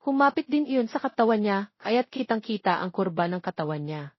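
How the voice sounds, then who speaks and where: A woman's voice: medium pitch, expressive, fairly quick, dry recording. A woman narrating a dramatic story from a script as a voice-over. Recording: dull lo-fi audio, very dry.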